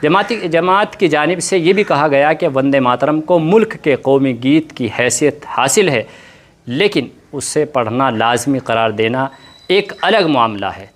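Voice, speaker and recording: A man presenting news commentary in formal, Urdu-heavy diction, repeating points for emphasis. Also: mid-range voice. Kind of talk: news commentary mixing report and opinion.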